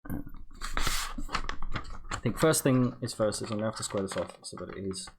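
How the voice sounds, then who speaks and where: A man's voice: lowish voice, expressive, normal speed, noisy background. A young man from the United States and Britain co-hosts a casual livestream, talking himself through what he is doing. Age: young adult. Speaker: co-host.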